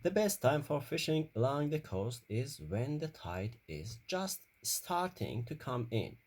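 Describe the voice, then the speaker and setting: A man reading a children's story aloud in careful, deliberate short phrases. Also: mid-range voice, very animated, a little mellow.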